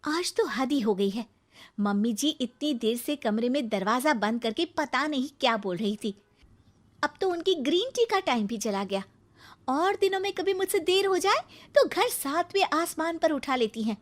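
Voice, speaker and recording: A young, educated daughter-in-law in a cartoon moral story, played by a woman. She has a high voice and a brisk, matter-of-fact delivery, with mild exasperation at her household. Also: expressive. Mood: exasperated. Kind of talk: acted dialogue in a Hindi moral story cartoon.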